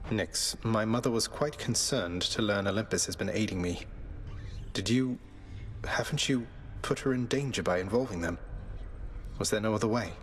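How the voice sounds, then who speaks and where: An actor playing a young underworld prince who talks in a laid-back, wry, polite way. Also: male voice, fairly low pitch, moderate pace, faint music behind, close to an everyday voice.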